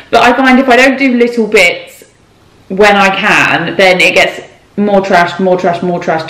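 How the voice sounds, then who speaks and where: A woman's voice: lowish voice, fairly bright tone. A woman in her thirties chatting casually while she tidies her home, with a light, self-mocking way of talking. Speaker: cleaning vlogger. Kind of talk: casual clean-with-me vlog chat. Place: home kitchen and rooms, indoors. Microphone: camera mic, handheld and set on the counter. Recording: echoey kitchen room.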